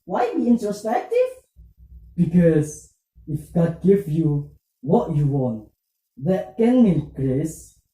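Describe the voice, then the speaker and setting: A puppet show presenter, speaking scripted religious dialogue in halting, deliberate English. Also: mid-range voice, huge pitch swings, dark tone. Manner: halting, deliberate phrasing in non-native English. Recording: roomy echo, distant muffled microphone.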